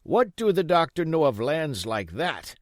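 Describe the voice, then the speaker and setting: A man voicing a sick, desperate old pirate in a storybook, wheedling and blustering in rough sailor's dialect. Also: a little high, big pitch swings, measured pace, dry recording, studio quality, pitched above the performer's own voice. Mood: indignant, pleading. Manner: rough nautical dialect, pleading and cursing.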